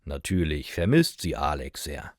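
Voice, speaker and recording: Slow, low-voiced man narrating. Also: very animated, a little mellow, no echo, clean studio sound. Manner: simple short sentences, carefully paced for learners. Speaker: narrator of German learning stories. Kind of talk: scripted storytelling narration for beginner language learners.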